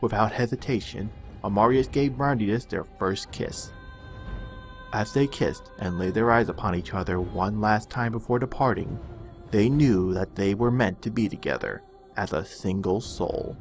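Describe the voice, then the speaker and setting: A man giving a dramatic, measured narration of a fantasy storybook tale. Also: fairly low pitch, faint music behind.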